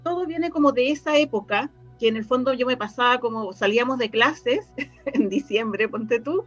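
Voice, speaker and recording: A Chilean woman speaks as a guest in a casual, reminiscing conversation, often pausing to find her words. Her voice sits on the high side, and the sound is dull and lo-fi over faint music. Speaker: interview guest, radio drama writer and director.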